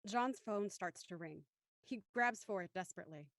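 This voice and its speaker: A woman's voice: medium pitch, moderate pace, dry recording, clean studio sound, close to an everyday voice. A woman narrating scene directions for an audio drama in a scripted storytelling style. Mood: matter-of-fact.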